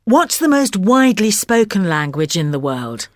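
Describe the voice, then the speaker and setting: Curious woman with lively intonation. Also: mid-range voice, normal speed, no room sound, clean studio sound. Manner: curious, questioning. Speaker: question asker in textbook audio. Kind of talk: scripted dialogue.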